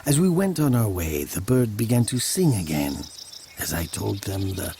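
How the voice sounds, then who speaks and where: An actor playing a brooding murderer who narrates his own dark fable in a measured, dramatic manner. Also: fairly low pitch, very animated, a little hiss or hum. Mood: somber, haunted. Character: brooding male village murderer recounting his dark tale.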